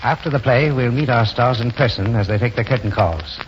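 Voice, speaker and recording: A man's voice: mid-range voice, fairly quick, slight background noise. A man narrating as a radio announcer in dramatic, scripted 1940s style. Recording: dull 1940s radio recording, loud music. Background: loud music.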